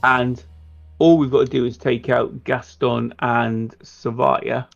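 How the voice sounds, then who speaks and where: Male game player, gravelly mid-pitched voice, conversational, on a dull lo-fi recording. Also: little pitch movement, takes it slowly. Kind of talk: casual game strategy discussion. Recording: dull lo-fi mic, faint music.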